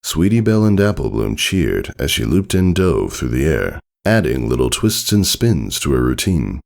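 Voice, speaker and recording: A man's voice: rumbling low, very animated, moderate pace, vocal fry. A man reading a story aloud as a scripted narration. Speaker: fan fiction reader.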